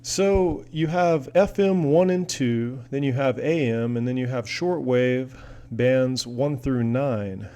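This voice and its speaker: A man's voice: mid-range voice, takes it slowly, mellow. An American man chatting casually as a hobbyist vlogger, rambling along in a folksy, enthusiastic manner. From United States. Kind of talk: casual product chat.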